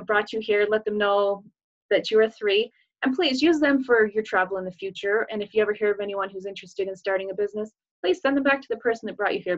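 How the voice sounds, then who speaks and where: A woman's voice: medium pitch, muffled recording. A woman giving an earnest, upbeat recruiting presentation over a video call, with casual fillers. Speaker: business presenter.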